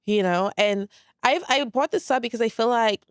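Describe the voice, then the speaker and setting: Solo podcast host, woman in her 30s, Brooklyn accent with a light Saint Lucian trace, candid and laid back, smooth clear voice, dry close sound. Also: on the high side, normal speed, dry recording. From Saint Lucia, raised in Brooklyn, New York. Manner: candid and laid back, lots of "like" and "very much so", swears freely. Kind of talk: casual solo podcast monologue.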